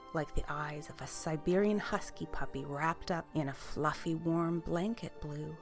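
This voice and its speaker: Female actor as cheerful, snug storyteller for small children; gentle wonder, low register, slow pacing, soft music underneath. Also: full character voice. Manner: gentle, wondering, drawn-out delivery for young children. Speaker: children's story show host. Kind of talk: gentle bedtime storytelling, reading letters aloud.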